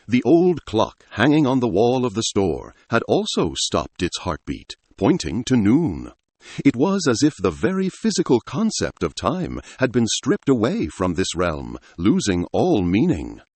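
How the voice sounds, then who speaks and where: A man with a low voice narrates a dramatic story. His delivery swings widely in pitch, rising and falling through each sentence. The recording is dry and close, with no room around it. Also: darkish tone, slightly rough, dry recording.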